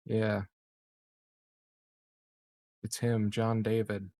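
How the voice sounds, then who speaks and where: A man's voice: fairly low pitch, little pitch movement, extremely slow, dark tone, no room sound. A man playing a tabletop game, laid-back and casual, with some swearing. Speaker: player.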